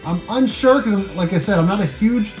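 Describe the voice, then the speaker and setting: A man's voice: high-pitched, expressive, brisk, a little mellow. Casual American man over guitar music. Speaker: YouTube channel host. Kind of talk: casual video preview of items for sale. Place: small room at home, indoors.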